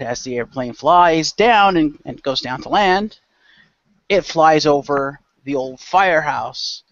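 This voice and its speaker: A man's voice: a little high, fairly bright tone, no room sound. A man hosting and narrating a role-play show as game master in a loose, informal way. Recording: dull lo-fi close microphone.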